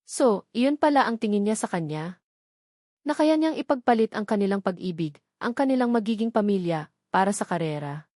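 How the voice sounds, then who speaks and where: A woman narrates a dramatic love story from a script. Her voice is mid-pitched, and the recording is dry, with no room sound. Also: lively intonation, moderate pace, a little mellow, no room sound.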